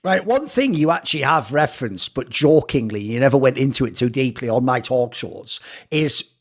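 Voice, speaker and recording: A man's voice: mid-range voice, animated, moderate pace. A man hosting a casual interview, explaining things at length in an emphatic, chatty way. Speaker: interviewer, talk show host.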